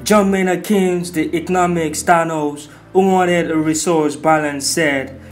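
A man hosts a movie commentary video in a hyped, informal and slangy way. His voice sits a little high, and music plays behind him. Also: fairly even intonation, unhurried. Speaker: video host.

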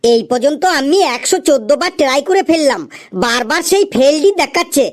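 A woman's voice: high-pitched, lively intonation, brisk. A woman voicing a boastful, exasperated male customer in a comic cartoon, with a whiny, melodramatic delivery. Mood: boastful, cajoling. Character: boastful, exasperated male tea-stall customer cadging credit. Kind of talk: exaggerated comic cartoon dialogue.

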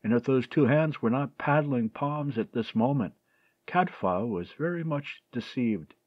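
Expressive male storyteller, lively intonation. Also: mid-range voice, measured pace, dry recording, muffled recording. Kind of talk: audiobook narration of a historical mystery.

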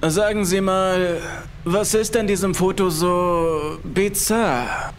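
Man putting on a high-voiced, cocky male scientist. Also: steady tone, takes it slowly. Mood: intrigued. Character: brash, teasing male scientist on a sea expedition. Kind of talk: horror audiobook character dialogue. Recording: music behind the voice.

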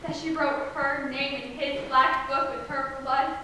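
Woman acting high-voiced, overwrought young servant girl in echoey room. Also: fairly even intonation, a little slow, pushed and bright. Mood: distraught. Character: eighteen-year-old servant girl, anxious, tearful, newly self-important. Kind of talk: stage play acting, period speech. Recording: echoey room, distant mic, faint noise.

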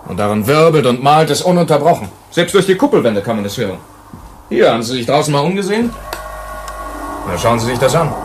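An actor in a German radio play, playing an earnest colony manager who explains things carefully. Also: male voice, mid-range voice, very animated, fast, background noise, natural voice. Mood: troubled. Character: earnest male colony manager explaining grim working conditions.

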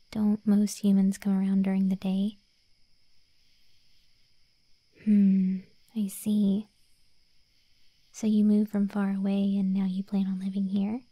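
A woman playing a protective gargoyle monster girl in an ASMR roleplay, speaking softly and breathily, close to the microphone. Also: medium pitch, dry recording, close to an everyday voice. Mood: friendly, helpful. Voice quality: soft, breathy, whispery. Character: protective gargoyle monster girl guard, stern then sweetly deferential. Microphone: close microphone, dry.

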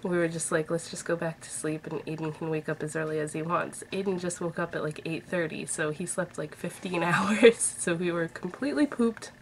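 A woman in her thirties talks to her webcam at home, her voice stuffy from a head cold. She rambles in a chatty, upbeat way, sitting a bit low in pitch. A faint hiss sits under the recording. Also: fairly even intonation, brisk, few pauses, rough recording. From Massachusetts. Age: 30s. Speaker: vlogger. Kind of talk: casual chat to camera. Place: bedroom at home, indoors. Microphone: webcam at desk distance.

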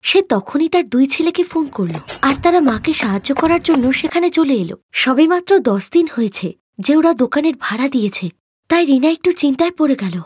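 A woman narrating an animated moral tale in a scripted storytelling style. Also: high voice, a little fast, a little hiss or hum.

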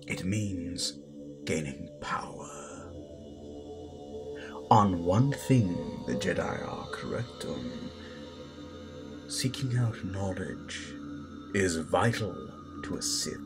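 A stern, menacing Sith master, acted by a man, speaks in a low voice and very slowly, with grandiose weighted pauses. It is a dramatic audio roleplay, with music clearly playing underneath. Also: heavily acted. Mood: measured, instructive. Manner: deliberate, grandiose, pausing for weight. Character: stern, menacing male Sith master teaching his apprentice. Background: loud background music.

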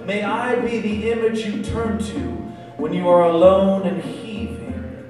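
A man's voice: high-pitched, a little slow, recorded from a distance. A man in his thirties, a poet performing live spoken word into a stage microphone in a small club. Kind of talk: live spoken word poetry reading with casual banter. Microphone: stage microphone on a stand. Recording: echoey live club PA, music behind.

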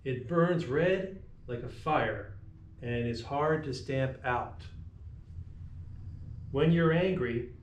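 A middle-aged man reading a picture book aloud to young children as a teacher, in a gentle, deliberate storytelling manner. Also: medium pitch, highs cut off, slight background noise. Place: small classroom, indoors. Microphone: camera a few meters away. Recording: echoey room, distant mic.

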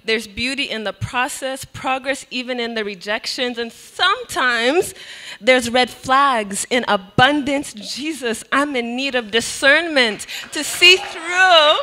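Young woman poet, bright and slow-paced, reciting her verse into a stage mic. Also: sits high. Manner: expressive, laughs between lines. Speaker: spoken word poet. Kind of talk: spoken word poetry performance. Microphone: handheld mic on a stand.

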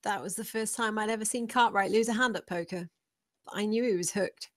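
A woman playing a composed, guarded schemer in a script table read, with a calm, controlled delivery. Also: medium pitch, a little fast, no room sound, clean studio sound, close to an everyday voice.

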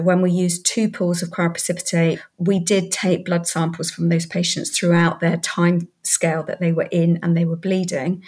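A British woman explaining research findings as an expert guest in an interview. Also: on the low side.

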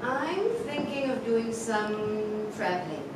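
A woman playing a calm, gently teasing mother on the phone to her son, in a staged reading on a theatre stage. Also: fairly high pitch, slow pace. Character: Malay mother, gentle, teasing, set on travelling. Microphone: far camera, no visible mic. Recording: echoey hall from far off, noisy.

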